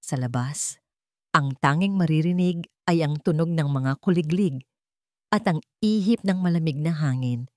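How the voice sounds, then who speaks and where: A woman narrating a scripted story in a measured, dramatic way. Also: low-pitched, mellow, no room sound.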